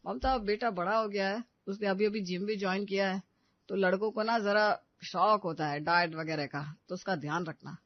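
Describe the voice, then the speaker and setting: A man playing a caring, gently teasing middle-aged father and husband in a Hindi moral story cartoon. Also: high-pitched, normal speed, no echo, highs cut off, close to an everyday voice.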